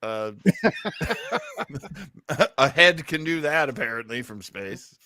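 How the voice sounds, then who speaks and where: A man giving casual, playful, joking commentary on a radio play, in a halting way. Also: a little high, sing-song, sharp tone. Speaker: listening party host.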